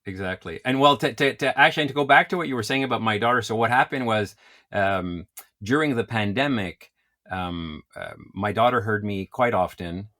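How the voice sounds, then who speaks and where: Low-voiced comedian from Quebec. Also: male voice, moderate pace. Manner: animated, laughs often, self-described loud voice. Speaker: comedian guest. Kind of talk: casual interview chat.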